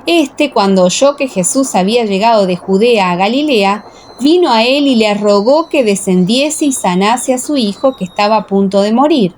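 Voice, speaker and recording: A woman's voice: fairly high pitch, animated, moderate pace, a little mellow, slight background noise. A woman reading scripture as the narrator of a dramatized audiobook.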